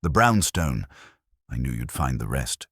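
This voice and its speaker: A man voicing a guilt-ridden, guarded woman, husky. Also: very deep voice, big pitch swings, unhurried, a bit bright, dry recording, clean studio sound, heavily acted. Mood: resigned. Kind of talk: audiobook character dialogue.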